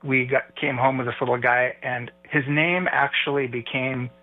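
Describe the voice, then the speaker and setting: A man's voice: medium pitch, steady tone, moderate pace. A man calling in as a radio guest, telling stories in a friendly way. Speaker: guest caller. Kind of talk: casual radio interview. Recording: tinny phone line with faint music.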